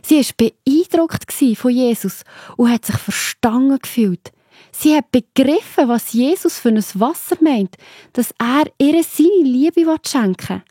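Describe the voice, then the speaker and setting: A woman's voice: high voice, expressive, a little slow, dark tone, slightly rough, no room sound, studio quality. A woman with a Swiss German accent narrating a scripted story for children, explaining simply and addressing the listener directly.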